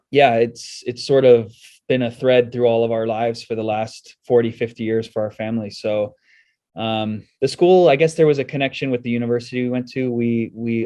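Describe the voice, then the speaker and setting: American man, even-toned interview guest. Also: medium pitch, moderate pace, dry recording. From United States and South Korea. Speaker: school sports director, interview guest. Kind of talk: casual interview answers.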